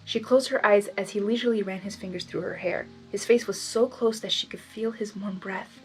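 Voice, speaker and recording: A woman reading fan fiction aloud in expressive storybook narration, with informal asides. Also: medium pitch, brisk, faint music behind, low-quality recording.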